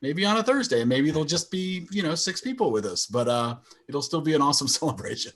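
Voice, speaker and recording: A man's voice: fairly high pitch, sing-song, fast talker, a bit bright, a little hiss or hum. A man chatting as a guest on a video call in a dryly joking, casual manner. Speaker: guest, wedding DJ.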